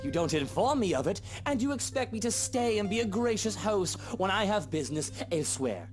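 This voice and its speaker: An actor playing an irritable, pompous rich husband with a British accent in a visual novel game. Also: male voice, high voice, sing-song, a little fast, soft background music. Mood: irritated. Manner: curt, pompous, exasperated.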